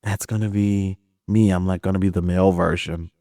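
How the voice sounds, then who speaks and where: A man's voice: sits low, brisk, no room sound, clean studio sound. A man from Chicago, guest on an interview podcast, chatting casually and acting out little quotes as he talks. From Chicago, Illinois. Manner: animated, laughs often, acts out quotes. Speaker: actor guest.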